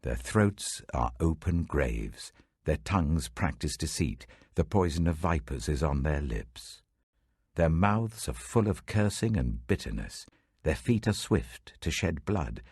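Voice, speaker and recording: A man giving a measured, dramatic reading of Bible passages in a close studio recording. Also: slightly rough, no echo. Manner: measured, dramatic, lively pitch shifts. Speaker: Bible reader. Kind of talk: expressive scripture reading.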